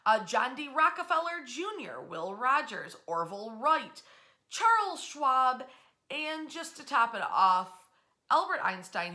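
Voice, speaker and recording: Female history storyteller, higher-pitched and bright, conversational delivery with emphatic pauses. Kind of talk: informal storytelling talk about local history.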